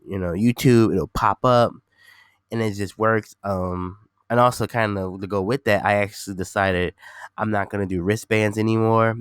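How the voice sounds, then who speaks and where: Man, podcast host, congested, nasal, low voice, dry close sound, rambling casual talk. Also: clear, resonant tone, no echo. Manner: rambling, repeats himself, many fillers.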